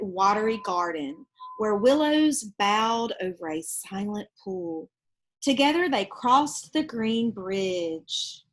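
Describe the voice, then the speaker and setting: A woman's voice: mid-range voice, fairly bright tone. A woman reading a children's storybook aloud expressively, with casual asides explaining the pictures to young listeners. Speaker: storybook reader.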